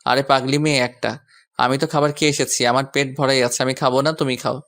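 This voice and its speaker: Man as young male lover, confident, protective, firm, flat intonation, medium pitch, audio story. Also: a little fast, natural voice. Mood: tender. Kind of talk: romantic audio story acting.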